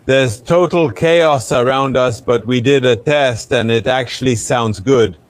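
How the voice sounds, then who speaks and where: A man's voice: medium pitch, a little slow, no echo. A man chatting casually as a travel vlogger, a bit congested, talking into a noise-cancelling clip-on mic in a busy airport. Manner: relaxed, chatty, explaining as he goes. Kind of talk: casual travel vlog chat. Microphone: wireless clip-on mic with noise cancelling.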